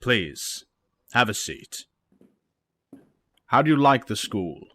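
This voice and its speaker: An actor playing a calm, fatherly headmaster with a measured, formal way of talking in an audio drama. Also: medium pitch, heavily acted. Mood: patient, serious. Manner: measured, formal, gentle authority.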